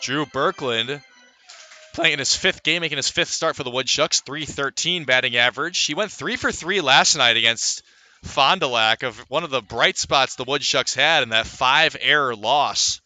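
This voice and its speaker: A man's voice: medium pitch, moderate pace, sharp tone. A man calling live baseball play-by-play in a radio commentary style, filling gaps with player statistics.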